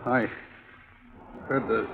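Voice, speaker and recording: An actor playing a bitter, jealous man who confesses to a killing, in a 1950s radio drama. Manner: slow, brooding, rising to anger. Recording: muffled old radio recording.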